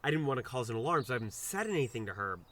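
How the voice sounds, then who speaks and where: A man's voice: mid-range voice, very animated, very fast, sharp tone. An actor playing an awkward, anxious young male doctor in an audio drama, rambling and scolding himself in a flustered, hesitant way. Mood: defensive. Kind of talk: audio drama dialogue with first-person inner monologue.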